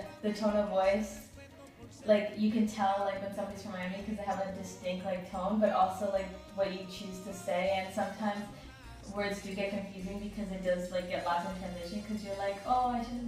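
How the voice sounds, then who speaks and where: A woman's voice: mid-range voice, a little mellow. A woman answering interview questions in a chatty, casual way, laughing often and saying "like" a lot. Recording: echoey room from far off, faint music.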